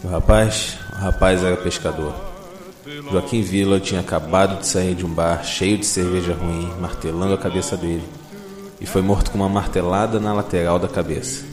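An actor playing a cynical, foul-mouthed police detective in a Brazilian audio drama, narrating with a weary, sardonic bluntness. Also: male voice, low-pitched, fairly even intonation, normal speed, echoey room, music in the background, lightly acted. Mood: bitter, sardonic.

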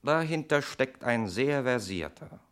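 An actor playing a smug, calculating brother in a 1960s German radio murder mystery, suave, theatrical and mocking. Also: male voice, mid-range voice, expressive, a little slow, fairly bright tone. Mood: relishing, sly.

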